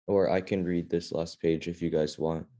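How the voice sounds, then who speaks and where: A man's voice: sits low, flat delivery, normal speed, warm tone. A man leading a reading club, reading a children's fantasy novel aloud in a plain, informal way.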